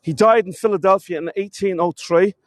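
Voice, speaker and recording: A man's voice: sits high, brisk, dry recording, clean studio sound. A man with a cold, sniffling as he gives a chatty live-streamed walking tour outdoors, talking to viewers and calling them folks. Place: outdoors in a town.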